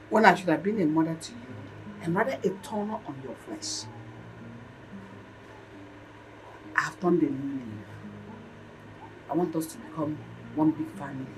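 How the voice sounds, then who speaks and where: A woman's voice: deep voice, low-quality recording. An actress playing a middle-aged, domineering mother in a melodramatic film, speaking in an emphatic, declamatory, repetitive way. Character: domineering mother and stepmother, fierce then ingratiatingly apologetic. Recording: clear background noise.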